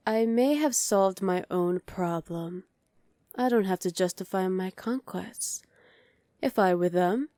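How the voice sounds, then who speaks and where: A woman's voice: medium pitch, darkish tone, dry recording, clean studio sound, natural voice. A woman voicing a dominant, haughty evil queen in an ASMR roleplay, imperious, sly and condescending. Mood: intrigued, scheming.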